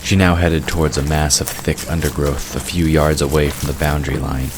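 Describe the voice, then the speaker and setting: A man's voice: a bass voice, flat delivery, fairly quick. A man reading scripted third-person narration for a fantasy audio drama. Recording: clear background noise of night crickets.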